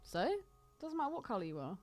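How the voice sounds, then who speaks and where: A woman's voice: medium pitch, very animated, fast talker, darkish tone, faint music behind. A woman co-hosting a podcast, bantering casually about films in a blunt, teasing, sweary manner. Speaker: podcast co-host. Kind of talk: casual film chat banter.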